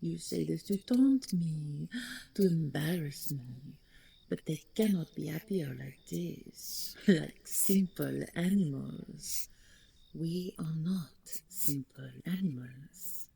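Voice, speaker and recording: Lady doing a mocking dead dragon queen, dark breathy voice, real slow n dramatic, kinda whispery, audio roleplay. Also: exaggerated voice. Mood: proud, wounded. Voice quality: low, breathy, husky, creaky. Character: vain, taunting ghost of a deposed dragon queen.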